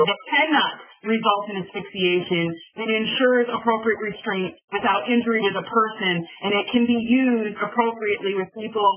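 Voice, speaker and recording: A faculty member from a college of education raises questions carefully, with polite apologies and restarts. The voice comes through a narrow, phone-like video call connection. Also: mid-range voice. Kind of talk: town hall discussion.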